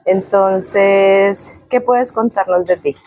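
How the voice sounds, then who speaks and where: A woman's voice: mid-range voice, takes it slowly, noisy background. A woman asking informal prepared interview questions, hesitant and rephrasing as she goes.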